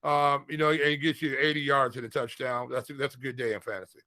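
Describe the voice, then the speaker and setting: A man's voice: mid-range voice, level tone, fast, bright, forceful tone, dry recording. A man co-hosting a casual sports chat, giving picks in informal, slangy talk and repeating phrases for emphasis. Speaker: fantasy football podcast co-host.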